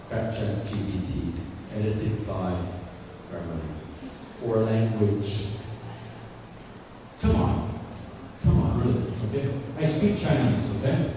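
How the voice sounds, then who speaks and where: A man giving a guest lecture to students, speaking English as a second language, who keeps checking in with "okay?" and repeats his points. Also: on the low side. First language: not English. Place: large lecture hall. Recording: echoey hall recorded from far off with noise.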